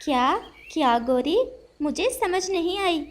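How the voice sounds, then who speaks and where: A woman voicing a kind, polite little female sparrow in a children's cartoon, gentle and earnest. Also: very high-pitched, expressive, a little slow. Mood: friendly. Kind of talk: Hindi children's cartoon voice acting.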